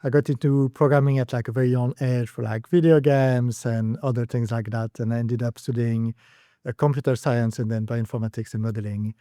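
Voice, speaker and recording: A man from Belgium speaks with a mellow, darker tone, laying out his background in a calm, measured way. The recording is close and dry. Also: mid-range voice, no room sound. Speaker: co-founder, podcast guest. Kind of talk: casual conversational podcast chat.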